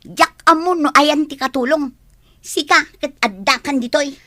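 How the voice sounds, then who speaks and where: A woman's voice: high-pitched, moderate pace, pushed and bright, no echo, clean studio sound. A woman playing a bossy older sister who scolds and orders others around. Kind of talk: radio drama acting.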